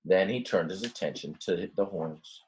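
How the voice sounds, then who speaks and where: A man's voice: low voice, normal speed, darkish tone, rough recording. A man reading a children's book aloud expressively, like a teacher, with simple, casual explanations along the way. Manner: explains words simply in plain asides. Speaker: read-aloud storyteller, teacher.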